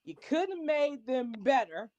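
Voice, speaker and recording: A woman's voice: high voice, very animated, unhurried, darkish tone, dry recording, dull audio. A woman chatting casually as a podcast panelist, giving blunt, emphatic opinions in a heated group discussion.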